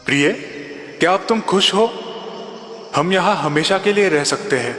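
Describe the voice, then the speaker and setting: A man voicing a poor, kindly fisherman husband in a children's cartoon, gentle and earnest. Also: high-pitched, moderate pace. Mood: gentle, puzzled. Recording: reverberant echo under background music.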